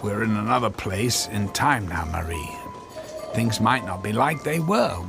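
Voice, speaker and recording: Anxious, apologetic inventor husband, low and creaky, halting delivery, radio drama with music underneath. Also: male voice, animated. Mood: pleading. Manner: hesitant, stammering. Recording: music bed behind dialogue.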